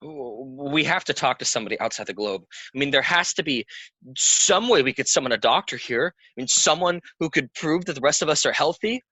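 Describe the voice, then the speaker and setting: A man's voice: fairly high pitch, expressive, fairly quick, bright, forceful tone, husky, no room sound, exaggerated voice, poor audio. A man acting a restless, defiant theatre player in an audio play, agitated, bitter and argumentative. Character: restless, defiant, hot-tempered young male theatre player.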